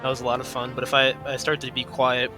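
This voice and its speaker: A hoarse young man losing his voice, chatting casually as a gaming streamer over game audio. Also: medium pitch, completely flat delivery, fast talker. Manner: chatty, apologetic. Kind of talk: casual let's play commentary. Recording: game music clearly behind. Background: game music.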